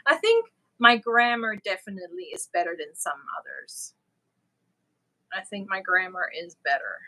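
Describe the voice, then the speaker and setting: A woman's voice: medium pitch, very animated, normal speed, bright, forceful tone, poor audio. A woman from Germany, chatting casually and a little nervously as she hosts a live stream, prone to giggling. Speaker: crochet live stream host.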